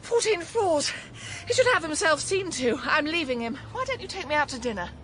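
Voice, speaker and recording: A woman's voice: sits high, sing-song, brisk, noisy background. An actress playing an upset wife who is leaving her husband, in a radio comedy drama. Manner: agitated, rambling complaints.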